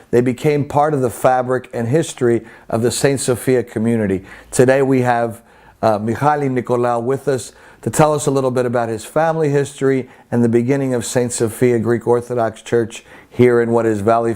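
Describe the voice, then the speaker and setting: Male interview host, middle pitch, plain conversational prompting. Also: a little mellow.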